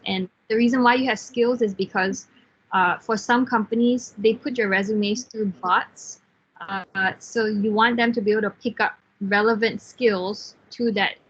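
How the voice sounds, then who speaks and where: A woman in her thirties from Singapore, a software team lead giving frank, encouraging career advice in an online class, with fillers and restarts. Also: medium pitch, breathy edge, muffled recording. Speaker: guest speaker, software engineer team lead.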